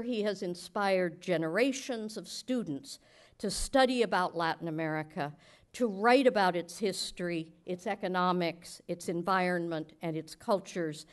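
Formal, measured woman at a podium. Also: mid-range voice. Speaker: event host, academic director. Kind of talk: formal podium introduction speech. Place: large auditorium stage, indoors. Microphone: podium microphones.

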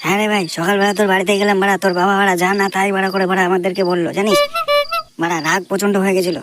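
A man's voice: high voice, flat delivery, very fast, over music, lightly acted. A man with a West Bengal Bengali accent voicing a bossy, idle, foul-mouthed buddy in a comedy cartoon. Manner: coarse, brash, swears constantly. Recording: faint background noise.

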